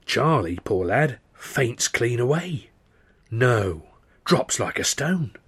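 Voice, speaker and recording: A man voicing an excitable working-class storyteller spinning a yarn, with a British accent and a colloquial, dramatic delivery. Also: medium pitch, slow pace, husky, heavily acted. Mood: animated.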